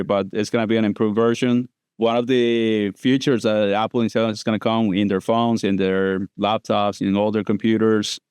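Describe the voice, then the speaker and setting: A man's voice: lowish voice, a little mellow, clear, resonant tone, no room sound, studio quality. A man from Costa Rica, a dentist guest on a podcast, explaining things casually with loose grammar and many fillers. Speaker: guest prosthodontist.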